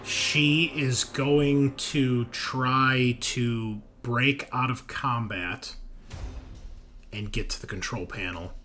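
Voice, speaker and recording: A man's voice: mid-range voice, fairly even intonation, drawn-out words, pushed and bright. A man acting as game master for a tabletop roleplaying game, thinking aloud as he narrates and rules on the action. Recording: background music under voice.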